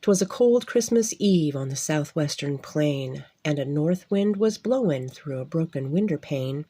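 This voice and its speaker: A woman reading a rhyming Western Christmas tale aloud in an expressive, measured storytelling manner. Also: deep voice, breathy edge. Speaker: storybook reader.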